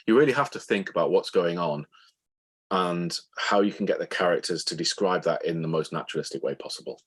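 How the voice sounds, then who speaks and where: A man speaking as a guest author in an interview, explaining things in a thoughtful, halting, conversational way. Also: low voice, fairly bright tone, slightly rough.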